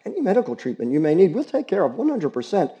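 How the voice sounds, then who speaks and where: A man's voice: high voice, wildly swooping pitch, warm tone, pitched above the performer's own voice, full character voice. A man doing an eager precocious teen girl. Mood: earnest. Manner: eager, pleading, rapid. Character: tall precocious teenage girl, eager and earnest. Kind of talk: audiobook character reading.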